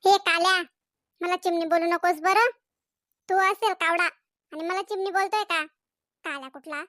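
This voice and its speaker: A woman doing a shrill, mocking village girl. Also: fairly even intonation, moderate pace, sharp tone, no echo, studio quality. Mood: indignant. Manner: mocking, name-calling. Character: teasing young village girl, sharp-tongued friend. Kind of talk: cartoon comedy voice acting.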